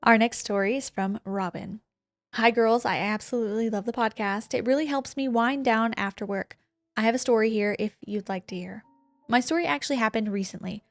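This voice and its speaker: A woman co-hosting a paranormal podcast, reading a listener's ghost story aloud with expressive emphasis. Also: on the high side, fairly quick, no room sound, faint music behind. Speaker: podcast co-host.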